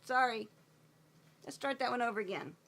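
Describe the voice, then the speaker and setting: A woman singing with a slightly hoarse voice, sometimes talking between verses with self-deprecating, laughing asides. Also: fairly high pitch, normal speed. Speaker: amateur ukulele player singing for a friend. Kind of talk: home recording of singing with ukulele and asides. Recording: loud ukulele playing under the voice.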